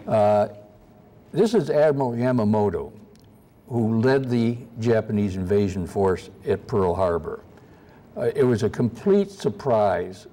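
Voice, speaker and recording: Soft-spoken man lecturing with slides. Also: fairly low pitch, expressive. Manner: soft-spoken, halting with frequent uhs. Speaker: guest lecturer. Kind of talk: slide-show history lecture. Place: lecture room at a convention.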